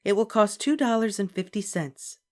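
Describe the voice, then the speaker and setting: A woman voicing a kind, understanding children's librarian who speaks gently and politely. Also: medium pitch, normal speed, pitched above the performer's own voice, close to an everyday voice. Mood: gentle.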